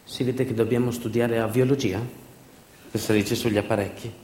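A man performing a theatrical narrative monologue, emphatic and dramatic, with deliberate repetitions and pauses. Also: medium pitch, fairly even intonation, darkish tone, a little hiss or hum. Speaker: stage actor and storyteller. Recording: echoey room.

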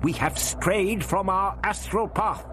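A man's voice: high voice, slow, noisy background, voice pushed up. An actor voicing an indignant, defiant elderly male doctor who snaps back testily. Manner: snapping, testy.

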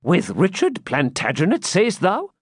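Man playing shrewd royal counsellor, animated delivery. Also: mid-range voice, measured pace, no echo, clean studio sound, pitched above the performer's own voice. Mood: disbelieving. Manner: formal archaic courtly speech. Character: shrewd, controlled male counsellor to a medieval prince.

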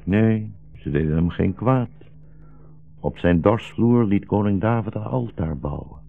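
An old blind rabbi in a 1960s radio play, dying and visionary. His low voice is full of gravel and moves slowly, with gentle, fatherly solemnity. The old broadcast recording is muffled and narrow. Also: animated, soft background music, heavily acted. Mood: reassuring, calm. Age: older. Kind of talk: 1960s radio drama acting.

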